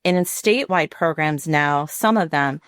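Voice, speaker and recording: An American woman with a low-pitched voice talks in a conversational interview style. She explains things as a program director would on a podcast. The sound is dry, with hardly any room on it. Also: normal speed, smooth, no room sound.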